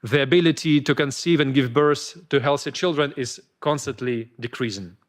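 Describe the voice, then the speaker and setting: A man's voice: medium pitch, normal speed. A man speaking formally at a conference, summarizing his points and announcing the next speakers into a podium microphone. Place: conference hall.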